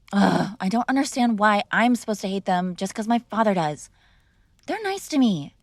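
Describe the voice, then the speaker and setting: A woman's voice: on the high side, no room sound, studio quality. A woman playing a spoiled, defiant mob boss's young daughter in a conversational, argumentative ASMR roleplay. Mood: defensive, exasperated. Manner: conversational, sighing, coaxing and argumentative. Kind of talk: ASMR girlfriend roleplay, one-sided conversational acting.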